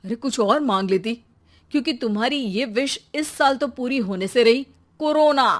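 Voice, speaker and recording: A woman's voice: fairly high pitch, moderate pace, lightly acted. A woman voicing a teasing, put-upon husband and father in a bantering way for a cartoon story. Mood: wry. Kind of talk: Hindi cartoon story dialogue.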